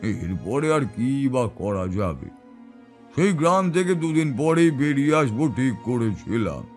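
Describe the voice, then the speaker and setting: A calm tantric storyteller played by a man recounts uncanny tales in a measured, reflective way. His voice sits at a medium pitch, with music behind it in this audio drama. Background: background music.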